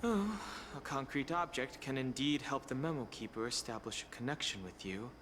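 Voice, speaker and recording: A man's voice: mid-range voice, animated, normal speed, fairly bright tone, a little hiss or hum, close to an everyday voice. An actor playing a cool, formal, reserved man in a video game, with a precise, dry, disapproving delivery. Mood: measured, serious. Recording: game music behind the voice.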